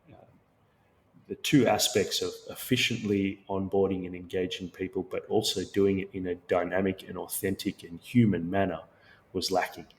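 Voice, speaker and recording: A middle-aged man with an Australian accent, born in Sri Lanka, giving measured, explanatory answers as a co-founder guest in a business interview. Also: low-pitched, flat delivery, low-quality recording. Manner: measured, explanatory, with occasional restarts.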